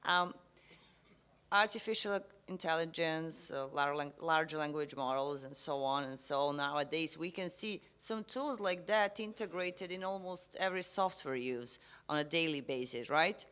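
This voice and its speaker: A moderator putting questions to panelists in a discussion, measured and drawn out. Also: mid-range voice, bright, forceful tone, clean tone.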